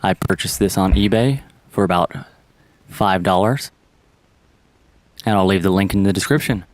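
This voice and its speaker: Low-voiced man giving repair instructions. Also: normal speed, dry recording, low-quality recording. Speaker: how-to presenter. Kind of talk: step-by-step repair tutorial narration.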